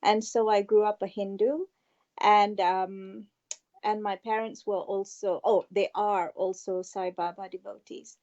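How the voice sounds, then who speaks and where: Woman guest from Malaysia, warm mellow tone, slightly dull lo-fi line, thoughtful storyteller. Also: mid-range voice, flat delivery, measured pace. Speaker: guest, past life regression therapist. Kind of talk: interview storytelling.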